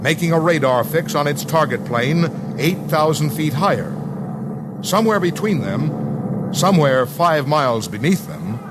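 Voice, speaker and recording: An American man narrates a 1950s radio documentary in a solemn, deliberate, scripted style with measured phrasing. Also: on the high side, heavy background noise. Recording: vintage 1950s radio broadcast, music underneath. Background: dramatic music.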